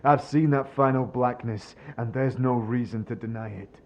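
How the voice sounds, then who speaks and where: A man's voice: mid-range voice, steady tone, normal speed, muffled recording, lightly acted. A man acting a bitter, despairing man who has lost all faith, brooding and sardonic, in a fantasy audio drama. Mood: bleak, hopeless. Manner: brooding, sardonic, rhetorical questioning.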